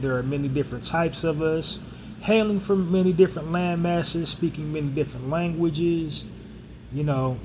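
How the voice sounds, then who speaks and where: Rambling podcast host with traffic noise. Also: male voice, a little high. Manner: rambling, casual, many fillers and restarts. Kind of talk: solo podcast monologue.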